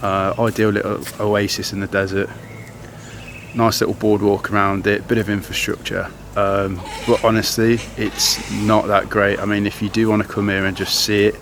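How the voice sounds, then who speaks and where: A man's voice: lowish voice, level tone, normal speed, fairly bright tone. A young man chatting casually to a handheld camera as a travel vlogger, rambling with lots of fillers. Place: outdoors in towns and on the road. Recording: outdoor camera audio with noise.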